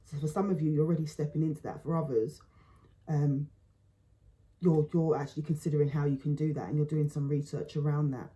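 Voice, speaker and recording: A woman giving a relaxed spoken tarot reading, thinking aloud in halting phrases and often repeating words. She says her voice feels low today. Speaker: tarot reader.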